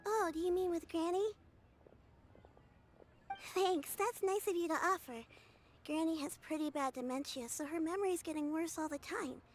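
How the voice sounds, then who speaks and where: An upbeat young girl who looks after her grandmother in a game. Her voice is very high and friendly, with music playing under her. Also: normal speed, pauses often. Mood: brave. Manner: bright, polite and upbeat. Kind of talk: game voice acting.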